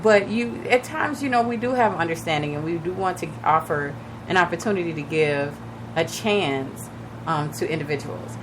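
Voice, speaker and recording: Raspy-voiced woman hosting a radio show. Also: on the low side, moderate pace, noisy background. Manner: chatty, advising listeners directly with many fillers. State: recovering from lost voice, a little shaky. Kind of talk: casual radio talk on real estate. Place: radio studio.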